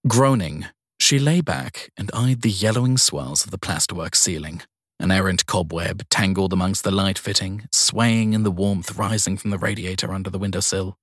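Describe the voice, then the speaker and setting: A man's voice: deep voice, normal speed, no echo, clean studio sound. A man reading crime fiction aloud as scripted audiobook narration.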